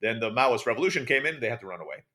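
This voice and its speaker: A man with an American accent, guest on a podcast, telling jokey stories in a quick, casual way. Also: mid-range voice, big pitch swings, crowd noise. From Turkey. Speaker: guest, online school founder.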